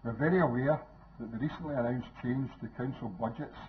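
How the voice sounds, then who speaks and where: An older man with a Scottish accent reading a prepared budget speech at a lectern in a council chamber, with a measured and formal delivery. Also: mid-range voice. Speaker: council leader. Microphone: lectern microphone. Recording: tinny phone-like sound, faint noise.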